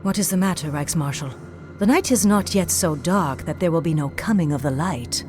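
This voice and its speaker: An actress playing a grave, proud female High Elf leader in a fantasy game, with stately, measured delivery. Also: lively intonation, darkish tone, soft background music. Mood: composed, stately. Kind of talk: fantasy game cutscene acting.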